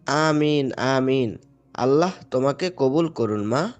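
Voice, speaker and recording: A man's voice: mid-range voice, slow, lightly acted. A man playing a devout father in an Islamic moral cartoon, speaking earnestly and patiently as he instructs his children. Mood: kindly. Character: devout, gently admonishing father of two.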